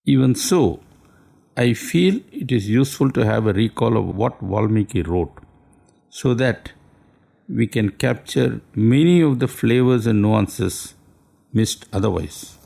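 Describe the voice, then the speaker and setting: A man delivers a scripted radio talk. His voice sits at an ordinary middle pitch and carries a heavy, gravelly fry throughout. He speaks formally and unhurriedly, with careful, courteous phrasing. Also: lively intonation, a little slow, warm tone. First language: Tamil.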